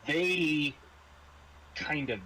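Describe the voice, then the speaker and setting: A man running a tabletop game as game master, narrating casually and haltingly with dry, understated humour. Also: fairly high pitch, bright, forceful tone, poor audio.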